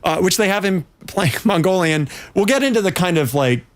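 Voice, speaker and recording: A man's voice: high voice, expressive, a little fast. A man hosting a casual livestream, chatting in a playful, rambling way. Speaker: pinball stream host.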